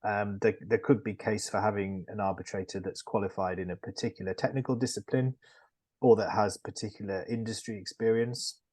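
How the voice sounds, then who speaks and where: A man on a webinar panel who explains points in a measured way, pausing often with ums. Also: lowish voice, steady tone, a little mellow. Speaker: construction lawyer panelist.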